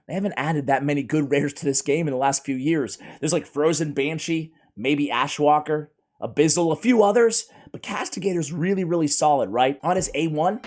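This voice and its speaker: A man reviewing video game characters in a casual, energetic way, his voice strained and worn from a cold. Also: fairly high pitch. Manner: energetic, chatty, keeps addressing viewers as guys. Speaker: gaming YouTuber.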